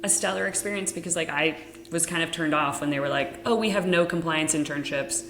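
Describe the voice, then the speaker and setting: A woman's voice: deep voice, brisk, reverberant, music in the background. A young American woman whose first language is Dutch, a podcast host talking frankly and casually with many fillers. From United States.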